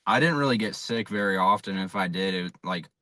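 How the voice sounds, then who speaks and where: A man's voice: sits low, fairly quick, pushed and bright, no echo. A young man from South Dakota with an American accent, giving plain-spoken, casual answers as a baseball player being interviewed. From South Dakota, USA. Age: young adult. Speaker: college baseball pitcher, interview guest.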